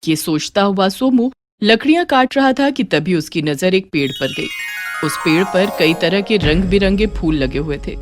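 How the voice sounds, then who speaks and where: A woman narrating a scripted children's moral story in an expressive storytelling manner. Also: on the low side, normal speed. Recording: faint music behind.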